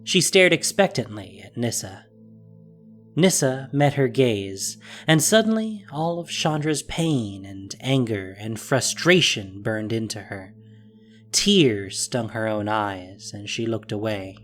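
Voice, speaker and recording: A man's voice: lowish voice, expressive, slow pace, a bit bright. A man reading scripted story narration as the narrator of an audio drama. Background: music.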